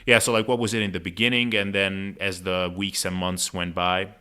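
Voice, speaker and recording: A man's voice: sits low, flat delivery, moderate pace, pushed and bright, clean tone. A man hosting a casual interview in an informal, chatty way full of filler words. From Hungary. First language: Hungarian. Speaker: podcast host.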